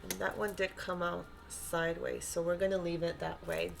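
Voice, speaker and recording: A woman with a stuffy, congested nose giving a gentle, reassuring spiritual tarot reading and often checking in with her listeners. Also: lowish voice, flat delivery, normal speed, creaky, soft background music. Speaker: tarot reader.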